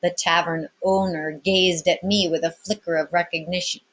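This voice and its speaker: A woman teacher reading a children's book aloud, pausing to explain and prompt her listeners. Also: fairly low pitch, level tone, a bit bright. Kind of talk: storybook read-aloud with explanatory asides.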